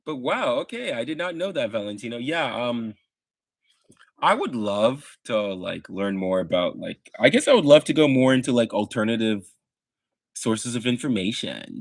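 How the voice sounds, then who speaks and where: A man's voice: mid-range voice, sing-song, moderate pace, creaky, no room sound. A young man with a Midwestern American accent, chatting in a rambling, laid-back way with lots of fillers on a live stream. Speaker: livestreamer. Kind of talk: casual live stream chat to chat viewers.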